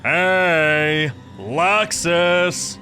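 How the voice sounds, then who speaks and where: A man voicing a big, naive elf warrior in a visual novel game, eager, loud and earnest. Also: mid-range voice, big pitch swings, slow pace, soft background music, voice pushed up, heavily acted. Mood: excited calling.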